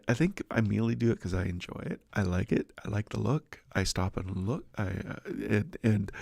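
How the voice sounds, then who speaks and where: A man's voice: fairly low pitch, gravelly, a little mellow, no echo, clean studio sound. A middle-aged man hosting a devotional livestream and podcast, talking in a casual, rambling, self-deprecating way with lots of restarts.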